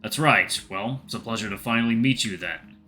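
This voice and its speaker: A man's voice: on the low side, a little fast, pushed and bright, soft background music. A man voicing a stern, disapproving middle-aged father in an audio story, speaking in a curt, probing way.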